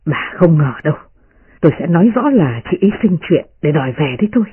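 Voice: mid-range voice, very animated, fast talker, husky, phone-quality sound. A performer voicing an impulsive young master of the household, petulant and insistent, in a storybook reading. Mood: exasperated.

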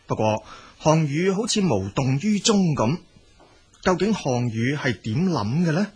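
A man's voice: a little high, very animated, measured pace, a little mellow, husky. A man narrating a historical audio drama in a scripted storytelling style.

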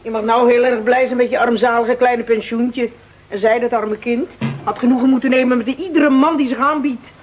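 An actress playing a thrifty, condescending old aunt in a Dutch radio play, sharp-tongued and coaxing by turns. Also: female voice, a little high, steady tone, moderate pace, slight background noise, lightly acted. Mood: smug, belittling. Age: older.

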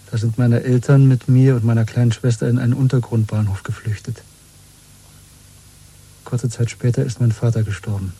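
An actor playing a weary, bitter, quietly defiant dissident in a radio drama, husky and intimate, speaking slowly and broodingly. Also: male voice, mid-range voice, poor audio. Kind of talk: 1970s German radio play acting.

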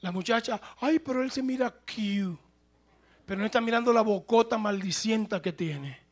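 A male pastor preaching a sermon, moving between plain conversational talk and loud, shouted passages. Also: high voice, normal speed.

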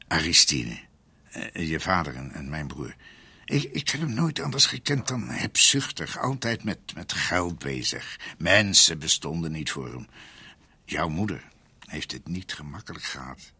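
Radio play: ageing learned doctor, earnest, reflective, low-pitched with lively swings in intonation. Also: male voice, husky, full character voice. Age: older. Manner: halting, reflective, searching for words. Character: ageing male doctor, scholarly, earnest, brooding family researcher. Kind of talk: radio drama acting.

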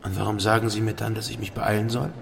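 A man's voice: on the low side, level tone, quick pace, vocal fry, noisy background. An actor playing an earnest, conflicted, insistently questioning man trapped by an epidemic in a German radio play. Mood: restless.

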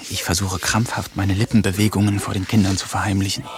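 An actor narrating a radio play as a poet, reading diary-like entries in a wry, introspective way. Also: male voice, sits low, little pitch movement, fast, natural voice. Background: music.

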